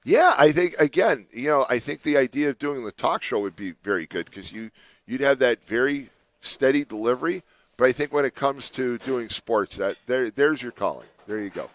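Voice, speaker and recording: A male morning radio host in a dry studio, talking at an easy middle pitch. He chats loosely with his co-host, laughs a lot and slips into teasing mock voices. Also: expressive, normal speed, fairly bright tone, no room sound. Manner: chatty, laughs often, does playful mock voices. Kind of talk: casual studio banter.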